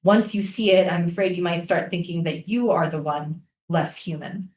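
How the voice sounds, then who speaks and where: A woman's voice: on the low side, normal speed, a little mellow, far from the mic, slight background noise, lightly acted. A woman in a staged play reading, playing an earnest, persistent writer questioning a military prison. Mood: firm, challenging. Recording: roomy, echoing live staged reading.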